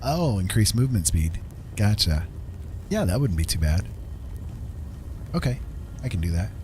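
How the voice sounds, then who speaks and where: A man's voice: fairly low pitch, sing-song, moderate pace, background noise. A middle-aged man livestreaming a game, chatting casually in a friendly, musing, polite way. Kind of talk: casual chat while gaming.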